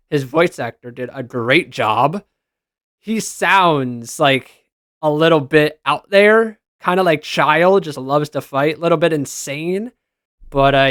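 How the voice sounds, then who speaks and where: A man's voice: on the high side, a bit bright, dry recording. Male game reviewer, upper-register voice, informal and enthusiastic fan delivery, very dry sound. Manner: informal, gushing fan talk. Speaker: video game reviewer and reaction host. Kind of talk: casual enthusiastic commentary over screenshots.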